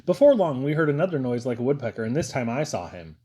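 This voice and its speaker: A man's voice: medium pitch, very animated, fast, warm tone, slightly rough. A man reading a first-person story aloud as an audiobook narrator. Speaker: audiobook reader.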